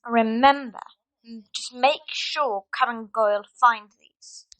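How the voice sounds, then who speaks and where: A clever schoolgirl witch played by a woman with a high voice, recorded dry and close. She is assured and a little bossy, giving instructions in an audio drama. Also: takes it slowly, fairly bright tone, no echo, low-quality recording. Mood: confident, scheming.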